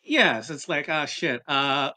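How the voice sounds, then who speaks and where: A man's voice: fairly high pitch, slow pace, sharp tone, no echo. A man chatting casually as a player in a tabletop game.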